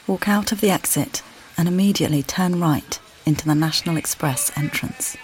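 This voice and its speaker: An actress playing a weary, displaced mother who calmly guides the listener in a walking play, speaking plainly and directly to them. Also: lowish voice, normal speed, natural voice, low-quality recording. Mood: reflective. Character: displaced mother, weary and uncertain, far from home. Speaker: audio guide narrator. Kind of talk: immersive walking-play narration.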